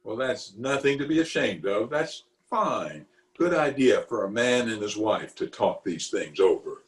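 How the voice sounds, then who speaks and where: A man's voice: lowish voice, big pitch swings, unhurried, roomy sound. A man playing a pompous, easily exasperated middle-aged official in an old-time radio comedy, recorded at home over a video call. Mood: curious. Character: blustery, exasperated middle-aged male water commissioner, pompous and opinionated.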